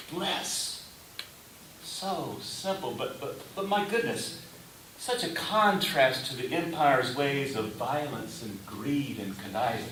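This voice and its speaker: A man preaching a sermon in a deliberate way, with rhetorical questions and emphatic short phrases. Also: mid-range voice, fairly bright tone. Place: reverberant indoor room before a congregation. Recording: echoey room, distant mic, faint hiss.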